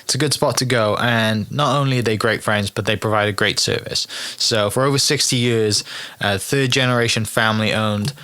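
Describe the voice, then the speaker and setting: Casting: upbeat male podcast host, lowish voice, bright and forceful, chatty. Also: steady tone, moderate pace. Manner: upbeat, chatty, uses fillers. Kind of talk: casual podcast hosting and sponsor reads.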